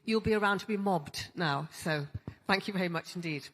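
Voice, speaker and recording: A woman moderating a conference panel, chatty and halting with many restarts. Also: on the low side, background noise. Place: large conference hall. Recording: long hall reverb.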